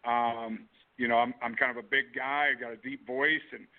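An excitable man rambles through a casual talk-show chat, stumbling and restarting sentences as he goes. His mid-pitched voice comes through a narrow, phone-like line. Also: moderate pace. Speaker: co-host, fighter.

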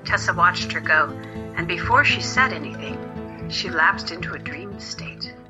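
A woman's voice: on the low side, animated, normal speed, loud music behind the voice, poor audio. A woman narrating a scripted story as the narrator of an audio drama.

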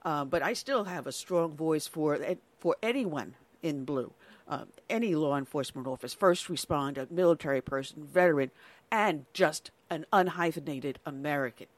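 A woman's voice: low-pitched, moderate pace, no echo. An older woman from New York hosting a conservative talk radio show, speaking earnestly and conversationally.

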